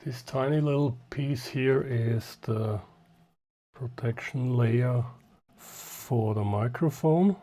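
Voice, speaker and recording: A man with a mid-pitched voice narrates a hands-on repair at a very unhurried pace. He speaks in short, halting phrases, as if half to himself while his hands are busy. The delivery is unscripted, like a hobbyist showing his work step by step. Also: warm tone, slight background noise. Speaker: hobbyist host of radio repair videos.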